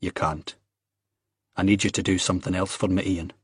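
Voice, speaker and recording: A man voicing a guarded, wry young Scottish man in an audiobook, quiet, restrained and terse. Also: low voice, level tone, normal speed, husky, no echo, studio quality. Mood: quiet, resolute.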